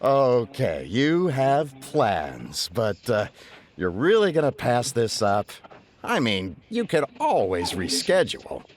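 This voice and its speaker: A slow-talking, coaxing businessman. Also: mid-range voice, sing-song. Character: smooth, persistent male businessman and admirer. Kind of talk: video game voice acting.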